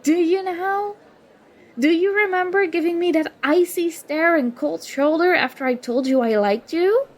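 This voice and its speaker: A woman's voice: very high voice, a little slow. A woman acting an indignant, sarcastic young student confronting a former school enemy in an audio roleplay. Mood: accusing, hurt. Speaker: ASMR roleplay performer. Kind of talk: ASMR roleplay acting, one side of a dialogue.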